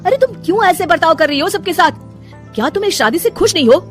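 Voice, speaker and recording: An earnest, affectionate young husband from a cartoon moral tale, as a woman narrator plays him. The delivery swings in pitch and pleads openly, with music underneath. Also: expressive, fast talker. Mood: upset, questioning. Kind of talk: Hindi cartoon moral story acting.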